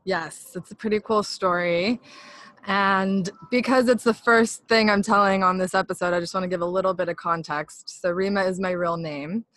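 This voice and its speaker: A woman's voice: mid-range voice, fairly even intonation, moderate pace, fairly bright tone. A woman from California, a podcast guest, telling her story earnestly in a casual interview.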